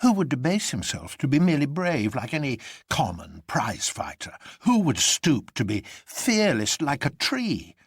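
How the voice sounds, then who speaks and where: A man voicing an excitable, reckless young man in a storybook reading, with an impassioned, declamatory manner. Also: medium pitch, unhurried, few pauses, breathy edge, no echo, studio quality, voice pushed up, heavily acted. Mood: exultant.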